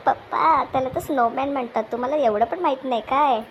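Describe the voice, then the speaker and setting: Lady doing an eager young son in a family cartoon comedy, highish childlike voice, bg noise. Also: moderate pace, highs cut off, natural voice. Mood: playful. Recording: clear background noise, ambience.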